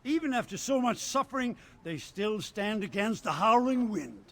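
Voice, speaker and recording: An actor playing an earnest, proud eastern Nord leader who speaks in a reflective way in a video game cutscene. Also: male voice, high voice, big pitch swings, normal speed.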